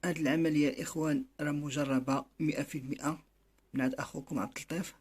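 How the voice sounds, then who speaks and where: A man's voice: on the high side, steady tone, a little mellow. A man with a Moroccan Arabic accent, giving casual how-to commentary to viewers in a bird-keeping video. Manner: quick, repetitive, addresses viewers as brothers.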